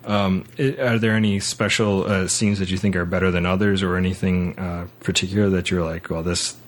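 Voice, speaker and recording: Very low-pitched man, podcast host, casual discussion, halting delivery with repeated words. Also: flat delivery, slight background noise. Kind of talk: casual film and book discussion.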